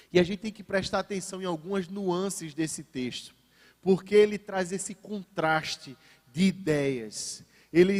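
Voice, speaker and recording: High-pitched Northeastern Brazilian male preacher, reverberant hall. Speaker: pastor preaching at a church service. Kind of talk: sermon. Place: large indoor church, preaching to a congregation. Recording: reverberant church hall sound.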